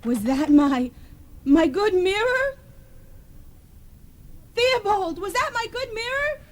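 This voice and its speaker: A woman playing a shrill, demanding, nagging wife who cries out in an old-time radio comedy. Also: animated, slow, slight background noise, full character voice. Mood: alarmed nagging. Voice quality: shrill, screaming.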